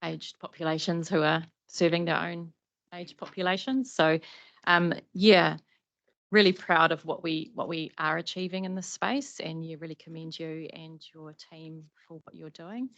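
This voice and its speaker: A woman with a New Zealand accent, a committee member giving appreciative remarks at a meeting, halting with frequent ums. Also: low-pitched, fairly even intonation, a bit bright, dry recording.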